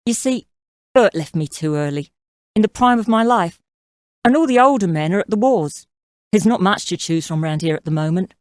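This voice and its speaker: A woman's voice: mid-range voice, expressive, moderate pace, darkish tone, dry recording, studio quality, natural voice. A woman playing a flirtatious, scheming mother-in-law in her thirties in an audio drama, coy and self-assured. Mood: persuasive, assured. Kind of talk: audio drama character acting.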